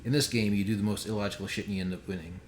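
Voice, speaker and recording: A man's voice: lowish voice, fairly even intonation, racing, slight background noise. A man giving casual, sweary, sardonic game commentary on a home stream. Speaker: game developer streaming a playthrough.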